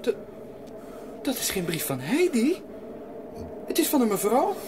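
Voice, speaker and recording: A woman plays a loyal young boy from the mountains in a radio play. The voice is fairly high and swings in pitch, earnest and halting, over clear background ambience. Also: huge pitch swings, background noise. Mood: anxious, puzzled.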